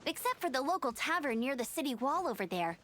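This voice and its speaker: A woman's voice: high-pitched, big pitch swings, fast talker, fairly bright tone, a little hiss or hum. An actress playing a perky, earnest young female knight guide in a video game. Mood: explaining. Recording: game music under the voice.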